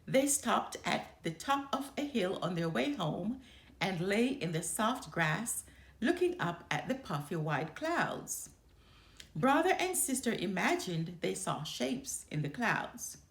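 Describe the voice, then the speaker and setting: A woman reads a children's picture book aloud as its storyteller. Her delivery is expressive and slow, with lively swings in pitch, recorded in a roomy, echoing space. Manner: expressive, deliberate. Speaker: storyteller, channel host.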